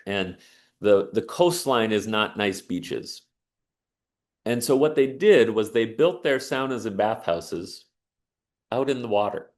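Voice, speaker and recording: A man giving an earnest, emphatic inspirational talk and telling a personal story. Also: lowish voice. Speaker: speaker on sauna and resilience.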